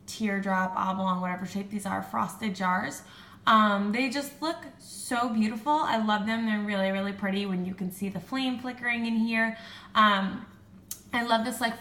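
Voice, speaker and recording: A woman's voice: medium pitch, fairly bright tone. A young woman in her twenties with a stuffed-up nose and a sore throat, chatting casually for her vlog, filling her talk with "like" and "um". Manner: chatty, lots of "like" and "um". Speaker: beauty vlogger. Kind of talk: casual chat. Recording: echoey room with faint hum.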